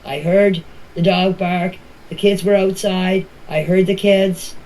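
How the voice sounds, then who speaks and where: A woman's voice: lowish voice, fairly even intonation, takes it slowly, a little mellow. A middle-aged or older woman recounting events informally in voice messages, plainly and step by step, with colloquial grammar. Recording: noisy voice-message clips.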